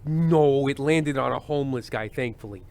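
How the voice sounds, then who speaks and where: A man playing a world-weary, fretful television host with halting delivery in an old-time radio drama. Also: medium pitch, a little mellow, close to an everyday voice. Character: anxious, world-weary male TV show host.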